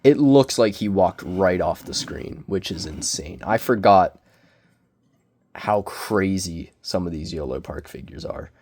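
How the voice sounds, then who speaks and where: A young man with a cold, sniffling as he reviews a collectible figure in casual, self-deprecating, excitable rambling. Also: low voice, a little slow, slight background noise. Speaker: toy reviewer. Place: small bedroom.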